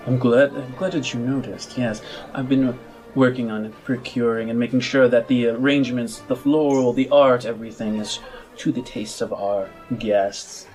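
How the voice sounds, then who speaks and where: A man's voice: mid-range voice, steady tone, moderate pace, darkish tone, faint music behind, lightly acted. A man voicing a nervous, servile tiefling butler in a fantasy game, stammering apologetically with formal deference. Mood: eager, servile.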